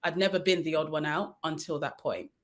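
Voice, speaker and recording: A woman's voice: low voice, fairly even intonation, fairly quick, heavy background noise. A young adult woman, born in Nigeria and raised in London, speaking as a guest in a panel discussion, telling a personal story in an earnest, reflective way. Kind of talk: casual discussion.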